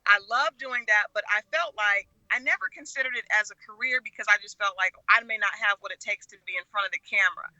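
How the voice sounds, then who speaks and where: Middle-aged American female interview guest, very bright and sharp voice, candid storytelling, dry but dull lo-fi sound. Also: medium pitch, quick pace, few pauses, no echo. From Oklahoma, United States. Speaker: talk show guest, media personality and relationship expert. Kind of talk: conversational interview, personal storytelling.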